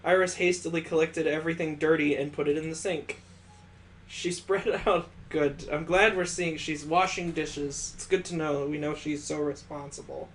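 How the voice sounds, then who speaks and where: Guy reading a visual novel out loud, kinda high voice, expressive, snarky comments thrown in. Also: moderate pace, a little hiss or hum. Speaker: let's play host. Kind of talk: visual novel read-aloud with joking asides.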